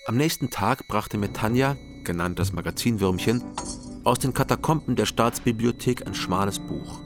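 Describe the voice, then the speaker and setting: An actor playing a young male investigator who narrates in a calm, dry, understated way in a radio crime drama. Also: on the low side. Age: young adult. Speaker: narrator and detective.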